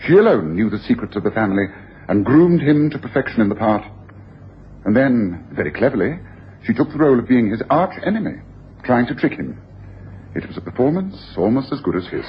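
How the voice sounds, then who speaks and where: Man playing a commanding, animated radio-drama detective. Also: medium pitch, fairly quick, lightly acted. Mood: triumphant, incisive. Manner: theatrical, incisive, domineering. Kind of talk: 1980s radio drama acting. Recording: dull, noisy old radio broadcast.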